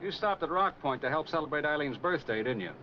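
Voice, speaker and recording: A man playing a skeptical, officious highway policeman in a 1940s film noir. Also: animated, quick pace, lightly acted. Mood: stern, needling. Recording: muffled, noisy 1940s film soundtrack.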